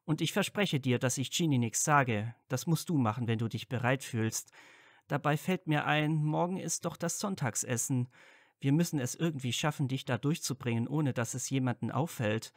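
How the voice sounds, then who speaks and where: A man's voice: medium pitch, no room sound, studio quality, natural voice. A man playing an earnest, loyal, easily flustered young wizard friend in an audio drama. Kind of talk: fan fiction audio drama dialogue.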